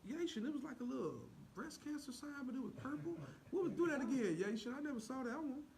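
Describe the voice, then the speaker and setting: Playful, teasing man with some creak. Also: very high voice, animated, quick pace, a little mellow. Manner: teasing, mock-churchy exclamations. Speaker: co-host greeting live viewers. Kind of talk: playful livestream banter. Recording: noisy live video stream.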